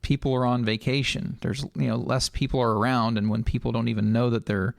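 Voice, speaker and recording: Dude hosting a chat show, mid pitch, dry close mic, easygoing. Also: male voice, flat delivery, brisk, vocal fry, no room sound. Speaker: podcast host. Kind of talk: casual interview.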